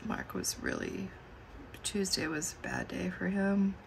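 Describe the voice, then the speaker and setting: A middle-aged woman losing her voice, chatting slowly and musing aloud as she flips through her planner at a desk. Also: fairly low pitch, huge pitch swings, gravelly, noisy background. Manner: slow and musing, thinking aloud. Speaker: planner channel host. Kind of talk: casual plan-with-me chat. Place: indoors at a desk.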